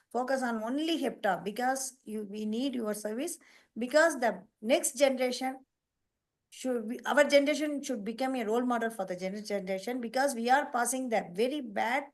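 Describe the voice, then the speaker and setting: A woman hosting a nutrition program on a video call, talking in a halting, repetitive way with emphatic lists. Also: a little high, a little mellow. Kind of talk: casual online meeting talk.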